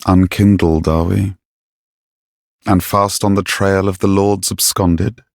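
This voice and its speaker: A sly, menacing knight turned assassin from a dark fantasy game. He speaks very low and unhurriedly, with creaky gravel under a silky, haughty formality. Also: level tone, no room sound, studio quality, full character voice. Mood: sly, knowing. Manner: silky, deliberate, theatrically formal. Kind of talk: video game character dialogue.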